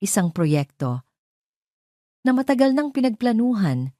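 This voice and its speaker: A woman with a Filipino accent voicing a betrayed wife in an audio drama, firm, measured and quietly defiant. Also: on the high side, sing-song, no room sound, studio quality, voice pushed up. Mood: composed, defiant.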